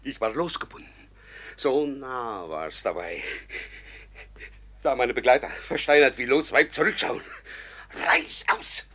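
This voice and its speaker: Husky, intense gallows escapee. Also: male voice, medium pitch, expressive, measured pace, long pauses, bright, forceful tone, exaggerated voice. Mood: breathless excitement. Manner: gasping, intense. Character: rough male robber escaped from the gallows. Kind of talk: classic theatrical radio drama.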